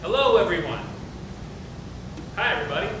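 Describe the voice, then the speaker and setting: A man's voice: expressive, fairly quick. A man acting a falsely friendly, needling workplace rival in a naturalistic drama table reading. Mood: rehearsing, formal. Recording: very echoey, distant, rumbling noise.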